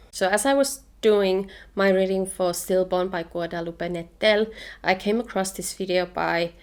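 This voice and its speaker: Finnish woman chatting about books. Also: mid-range voice. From Finland. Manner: enthusiastic, opinionated, chatty. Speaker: book vlogger. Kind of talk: casual book review chat.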